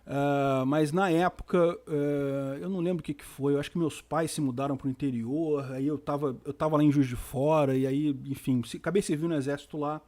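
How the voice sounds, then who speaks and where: A man's voice: on the high side, steady tone, brisk, hardly any pauses, warm tone. Rambling Brazilian man in his fifties. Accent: Rio de Janeiro Portuguese. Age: 50s. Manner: rambling with frequent restarts and fillers; informal, uses slang and mild swearing. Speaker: live stream host. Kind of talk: casual chat answering viewer questions.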